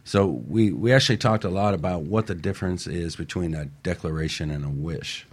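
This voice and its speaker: A low-pitched man speaks as a co-host on motivational talk radio. He makes his points emphatically, in firm declarative statements, and repeats the key ones. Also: fairly quick, slightly rough.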